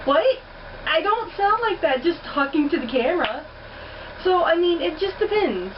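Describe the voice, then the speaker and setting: A woman's voice: very high voice, sing-song, moderate pace. Chatty young woman, distant muffled heater hum. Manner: chatty and blunt, swears casually. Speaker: vlogger. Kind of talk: casual accent challenge vlog. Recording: distant muffled home recording with heater noise.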